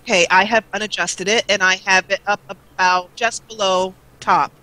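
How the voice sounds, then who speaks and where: Bright-voiced woman chatting as a livestream guest. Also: medium pitch, steady tone, moderate pace, low-quality recording. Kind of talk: casual chat.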